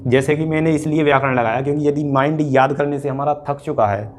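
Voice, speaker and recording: A man's voice: a little high, fairly even intonation, fast talker, slight background noise. A man giving an informal, explanatory exam-coaching lecture, often repeating points and checking in with students. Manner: explanatory, repetitive, with frequent check-in tags.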